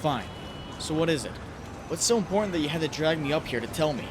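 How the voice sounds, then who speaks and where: A man's voice: a little high, lively intonation, fast talker, close to an everyday voice. A man voicing a troubled, sardonic young superhero in a comic dub, recorded in a roomy, noisy space. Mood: irritated. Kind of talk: comic dub acting with introspective narration.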